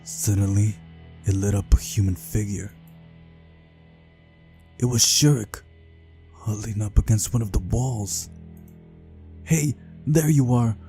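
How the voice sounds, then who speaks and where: A man's voice: fairly low pitch, steady tone, takes it slowly, pauses often, dark tone, faint music behind. A man reading a visual novel's story aloud as scripted first-person narration for a playthrough.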